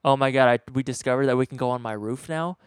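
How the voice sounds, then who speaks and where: A man's voice: mid-range voice, fast, smooth, no echo, studio quality. A man in his twenties chatting casually, rambling and laid-back, swearing now and then. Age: 20s. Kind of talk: casual chat.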